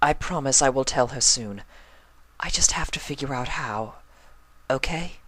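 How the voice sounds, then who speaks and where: A man's voice: mid-range voice, a little slow, bright, forceful tone, no echo, voice pushed up. A man voicing a refined, dramatic female pony with dainty, genteel phrasing in a storybook reading. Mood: anxious. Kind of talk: storybook character voice in fan fiction reading.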